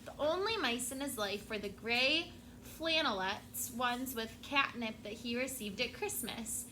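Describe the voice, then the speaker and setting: A woman teacher reading a picture book aloud to her class, expressive and stopping to prompt the children. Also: on the high side, slight background noise, rough recording.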